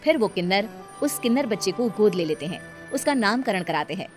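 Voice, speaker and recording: A woman storyteller reading scripted narration for an animated tale. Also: mid-range voice, fairly quick. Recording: music behind the voice.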